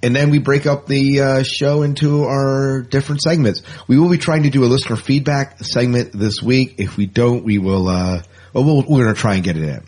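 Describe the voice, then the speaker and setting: A man's voice: mid-range voice, normal speed, a little mellow. A man co-hosting a casual sci-fi fan podcast, rambling and jokey, often repeating words for emphasis. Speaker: podcast co-host.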